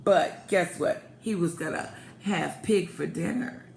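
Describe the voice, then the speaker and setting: A woman reading a picture book aloud as a storyteller, expressive and prompting young listeners. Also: lowish voice, a little slow, creaky. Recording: echoey room with faint background noise.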